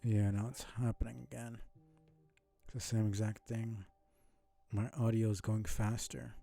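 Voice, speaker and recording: A man's voice: on the low side, flat delivery, measured pace, gravelly, dark tone, faint music behind. A man casually commentating a live video game stream, rambling along in a mumbly, mildly annoyed way.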